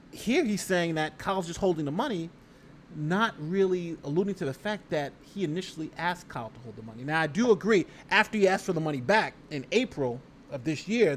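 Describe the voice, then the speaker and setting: Male livestream host, lawyer type: slightly high voice, conversational and emphatic legal commentary, reads aloud and reacts, some background noise. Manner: conversational, emphatic, repeats phrases and talks directly to viewers. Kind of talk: casual live legal commentary, reading a document aloud and reacting.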